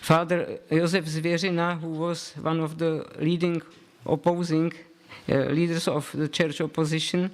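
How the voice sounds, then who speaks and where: Halting man giving a talk. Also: a little high, little pitch movement. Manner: halting, repeats words while searching for them. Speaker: professor, panel speaker. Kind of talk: conference talk.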